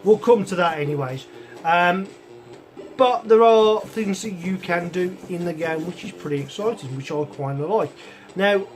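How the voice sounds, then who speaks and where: A man hosting a retro computer show from his hobby room, talking in a chatty, meandering way with lots of ums, his voice croaky. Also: high-pitched. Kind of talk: casual rambling review chat. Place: home hobby room with computers and a soldering bench. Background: chiptune music playing from a computer.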